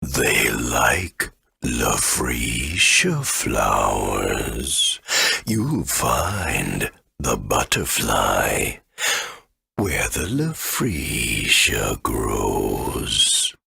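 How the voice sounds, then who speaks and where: A male actor playing an ancient, kindly, forgetful great tree elder in a video game, with a deep, gravelly, husky voice and a slow, halting delivery. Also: huge pitch swings, sharp tone, dry recording, heavily acted. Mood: pondering. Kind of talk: video game character acting.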